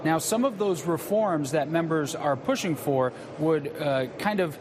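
A male news correspondent reporting from the field in a measured, even manner. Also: fairly high pitch, background noise.

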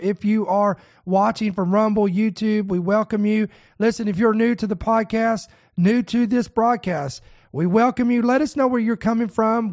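A man hosting a prophecy news podcast, his voice nasal and congested from a head cold. He sits fairly high for a man and reads news articles aloud with earnest emphasis, leaning on key words and stretching them out. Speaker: podcast host.

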